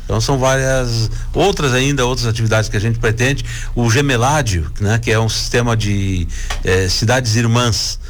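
A man who is president of an Italian cultural club, speaking as a radio guest and explaining plans hesitantly, with many pauses and restarts. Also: mid-range voice.

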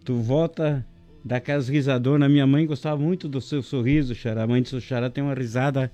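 A man hosting a casual local radio show, jokey and chatty, giving shout-outs to listeners and sponsors. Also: mid-range voice, fairly even intonation, brisk, faint music behind. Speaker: radio host.

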